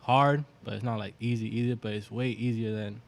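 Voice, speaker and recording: A man in his twenties from Boston, a guest talking in a relaxed, rambling way with lots of fillers. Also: on the low side, fairly quick. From Boston, USA (born in Venezuela). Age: 20s. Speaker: guest, clothing brand founder. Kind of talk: casual interview chat.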